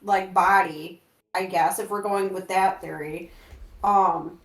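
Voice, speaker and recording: A woman's voice: on the low side, fairly even intonation, slow. A woman chatting casually as a tabletop game player, tentative and questioning, in an echoey room. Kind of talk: casual chat.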